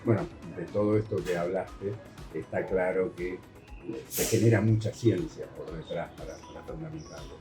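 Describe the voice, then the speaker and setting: Dark-voiced Argentine male host. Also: deep voice, expressive, moderate pace, echoey room, soft background music. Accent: Rioplatense Argentine Spanish. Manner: friendly, informal voseo. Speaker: host interviewer. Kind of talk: interview questions.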